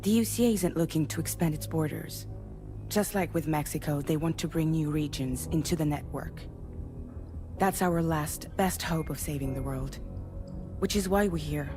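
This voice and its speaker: A woman playing a composed female commander in a video game cutscene. Her voice is low, welcoming and resolute as she guides a newcomer, with a prominent score behind her. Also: moderate pace, warm tone, soft background music, close to an everyday voice. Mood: earnest, resolute. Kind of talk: cinematic video game acting.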